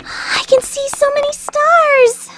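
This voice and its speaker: A woman voicing a bubbly, childlike teenage schoolgirl with squeaky exclamations in an anime-style radio play. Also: fairly even intonation, slow, bright, forceful tone, exaggerated voice, rough recording. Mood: cheerful.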